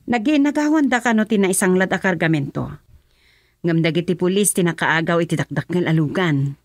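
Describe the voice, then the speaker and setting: A woman's voice: lowish voice, expressive, a little fast, no echo, clean studio sound. A woman acting a concerned, gossiping friend in an Ilocano radio drama.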